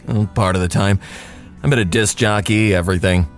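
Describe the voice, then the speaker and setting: A man's voice: on the low side, steady tone, a little fast, soft background music, close to an everyday voice. An actor playing a laid-back, sardonic radio newsman and disc jockey in an audio drama, with a wry, casual manner. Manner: wry, casual, dry-humored. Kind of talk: naturalistic audio drama acting.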